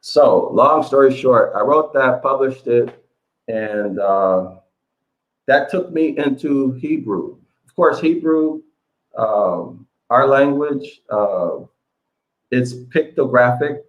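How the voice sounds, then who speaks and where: A man's voice: medium pitch, takes it slowly. Rambling man on a muffled call. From United States. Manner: rambling, many fillers and restarts. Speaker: interview guest, author. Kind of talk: casual storytelling interview. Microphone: online call.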